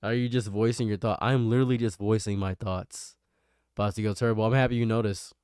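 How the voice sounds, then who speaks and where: A man's voice: on the low side, steady tone, fairly quick, darkish tone, clean tone, no room sound, clean studio sound. A young man with a nasal voice, rambling and self-mocking as he chats with his live stream viewers. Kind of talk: casual live stream chat.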